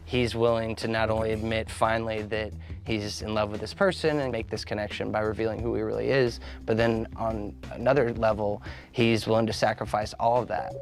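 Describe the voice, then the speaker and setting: A man's voice: on the low side, flat delivery, fairly quick. A man in an interview commenting on the making of a film and its cast. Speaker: filmmaker interviewed in featurette. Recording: music behind.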